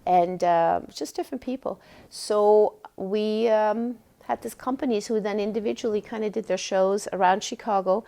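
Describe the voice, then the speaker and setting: A woman in her sixties with a Swiss accent, recalling her life informally and haltingly in an interview, thinking aloud as she goes. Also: mid-range voice, mellow. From Switzerland. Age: 60s. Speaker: former dancer and choreographer being interviewed. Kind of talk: oral history interview, personal recollection.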